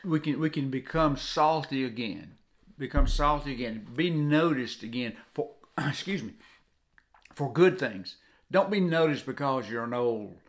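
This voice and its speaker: An older man with a Southern US accent giving an informal, earnest devotional talk, often repeating phrases for emphasis. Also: mid-range voice. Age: 60s or older. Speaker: co-host of a devotional video. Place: home kitchen, indoors.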